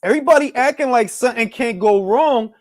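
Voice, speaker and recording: A man's voice: very high-pitched, brisk, no room sound, clean studio sound. A young man hosting a casual boxing talk stream, bantering with loose, profane energy. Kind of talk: casual live-stream chat with viewers.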